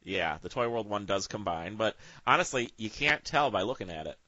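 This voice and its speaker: A man interviews casually in a lowish, bright and forceful voice. The recording is close and dry, with slightly dulled sound. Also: expressive, brisk, no echo, dull audio.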